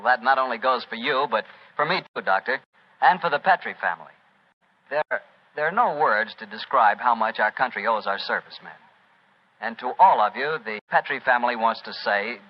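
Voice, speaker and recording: A man's voice: mid-range voice, expressive, moderate pace. An American man working as an announcer in 1940s radio style. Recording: muffled vintage radio, faint music behind.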